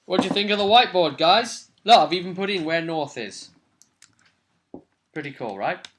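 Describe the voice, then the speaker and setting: A young man with a slightly high, bright voice talks casually as host. He chats about a tabletop game session as its game master, speaking into a webcam in a small home room. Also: animated, normal speed. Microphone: webcam at arm's length.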